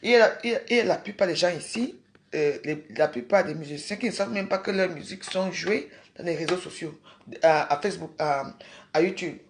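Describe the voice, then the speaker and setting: Gravelly woman scolding viewers. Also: lowish voice, a little fast. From Cameroon. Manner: emphatic, repetitive, scolding the viewers. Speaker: livestream host. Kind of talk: casual livestream rant.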